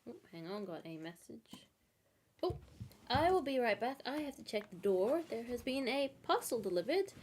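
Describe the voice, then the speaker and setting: A woman's voice: on the high side, sing-song, normal speed. A woman live streaming from home, chatting casually and rambling with many fillers. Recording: noisy home stream audio.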